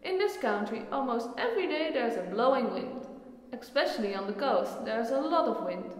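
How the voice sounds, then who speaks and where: A woman's voice: a little high, lively intonation, moderate pace, natural voice. A woman playing a knowledgeable, earnest friend who patiently explains things in a scripted educational film dialogue. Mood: encouraging, instructive. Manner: explanatory, instructive. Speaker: character in a student educational film. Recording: very echoey hall-like reverberant room.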